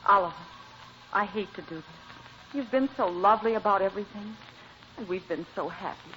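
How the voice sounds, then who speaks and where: Actress as bright-voiced, anxious young wife. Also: female voice, medium pitch, fairly quick, natural voice. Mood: regretful, pleading. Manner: pleading, hesitant. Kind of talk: old-time radio comedy acting. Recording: dull, noisy old radio recording.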